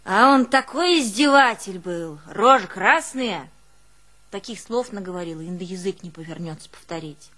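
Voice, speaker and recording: A woman's voice: medium pitch, animated, moderate pace, a bit bright. An actress playing a long-suffering, devoted village woman, telling of hardship in a plaintive, folksy theatrical monologue. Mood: desperate, determined. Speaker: actress in a one-woman show. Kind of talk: theatrical monologue with drawn-out folk singing.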